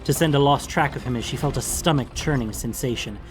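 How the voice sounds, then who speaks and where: A man's voice: medium pitch, brisk. A man reading a scripted fantasy story as an audiobook narrator, in a dramatic storytelling style. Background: background music.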